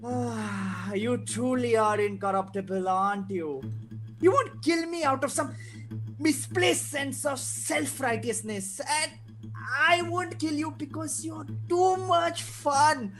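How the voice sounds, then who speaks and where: Someone playing a slow, taunting, gleeful clown villain. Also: high voice, fairly bright tone. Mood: gleeful menace. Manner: taunting, drawn-out phrasing, giggly. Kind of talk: comic table read of a film script. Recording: live guitar music behind online reading. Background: plucked guitar music.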